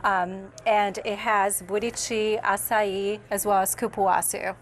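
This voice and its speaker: A woman's voice: medium pitch, little pitch movement, takes it slowly. A woman from Brazil presenting products conversationally as an interview guest, on a lapel mic in a TV studio. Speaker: interview guest, beauty company founder. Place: busy TV newsroom studio.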